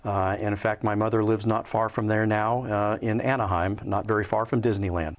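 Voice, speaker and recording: An American man in his fifties from Southern California, recalling his life conversationally in an interview, hesitant and measured with frequent pauses. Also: fairly low pitch, steady tone. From Southern California, USA. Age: 50s. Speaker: interview guest, Air Force veteran. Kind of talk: conversational personal recollection.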